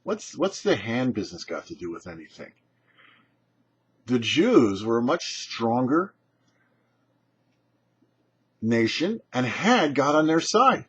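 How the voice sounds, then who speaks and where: A man with a husky voice, giving a short scripted religious teaching as a rabbi in an emphatic, explanatory way. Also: medium pitch, very animated, slow pace, pauses often, fairly bright tone. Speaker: rabbi and show host. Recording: dull, muffled lo-fi audio.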